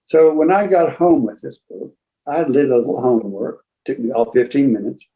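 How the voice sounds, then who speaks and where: An older man with a mellow, relaxed voice and a medium pitch. He talks as a seasoned collector sharing anecdotes, casual and halting, wandering through his tale. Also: low-quality recording. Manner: rambling, halting raconteur who acts out remembered exchanges. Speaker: museum founder and book collector, guest storyteller.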